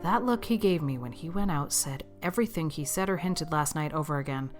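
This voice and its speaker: A stern older farm woman with a distinctly low voice. She is brisk, practical and grudging, speaking her audiobook lines with grim exasperation over faint music. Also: lively intonation. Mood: muttering, puzzled. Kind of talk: dramatised audiobook dialogue.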